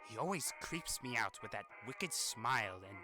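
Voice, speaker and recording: A man's voice: medium pitch, big pitch swings, moderate pace, natural voice. A man voicing a weary, irritable, sardonic demon hotel resident in a fan-made animation monologue, with grumbling, exasperated delivery. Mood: dry, disdainful. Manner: grumbling, exasperated, sighing asides. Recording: voice over old phonograph music.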